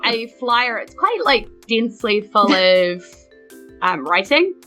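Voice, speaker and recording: A woman's voice: on the high side, big pitch swings, takes it slowly, bright, forceful tone, soft background music. A woman with a New Zealand accent, casually narrating a tabletop game as game master.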